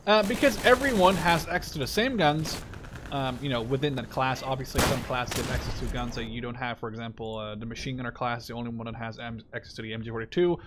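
A man's voice: medium pitch, fast. A young man giving casual gameplay commentary, rambling, sweary and full of opinions. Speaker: gaming video creator giving live commentary. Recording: loud machine-gun game noise behind voice. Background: gunfire and clicks from a war game.

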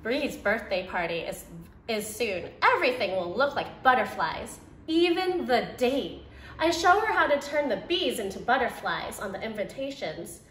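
A woman's voice: a little high, very animated, normal speed, a bit bright, echoey room, a little hiss or hum. A young woman who teaches preschool, reading a picture book aloud to children and voicing the quoted lines with expression. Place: indoor room by a brick fireplace.

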